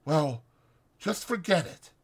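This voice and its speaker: A man's voice: fairly high pitch, expressive, slow, husky, voice pushed up, heavily acted. A man voicing a withdrawn, bitter stable hand, subdued and guarded, in a dramatized audiobook. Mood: resigned. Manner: subdued, dull, guarded, rural dialect.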